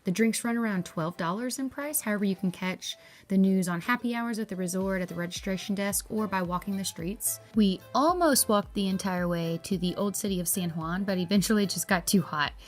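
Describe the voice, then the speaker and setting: A woman's voice: mid-range voice, a little fast, soft background music. A woman with a Southern US accent, narrating a chatty, upbeat travel review in a folksy way. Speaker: travel vlogger.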